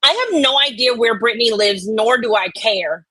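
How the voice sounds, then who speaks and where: A woman's voice: fairly high pitch, moderate pace, bright, forceful tone, no echo, rough recording. A woman co-host doing irreverent, sweary dramatic readings of online comments mixed with banter.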